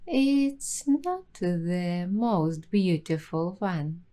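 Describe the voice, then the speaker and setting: A woman hosting an ASMR roleplay, talking softly and slipping into whispers now and then. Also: lowish voice, expressive, very slow. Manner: soft-spoken, slipping into whispers. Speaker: ASMR host.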